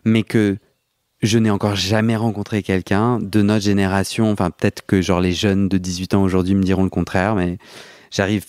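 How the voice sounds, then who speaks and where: A man in his late thirties with a Parisian accent, hosting an intimate podcast interview in a reflective, animated, casual way. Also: deep voice, moderate pace, smooth, dry recording, studio quality. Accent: Parisian French. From mainland France. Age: 30s. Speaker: podcast host.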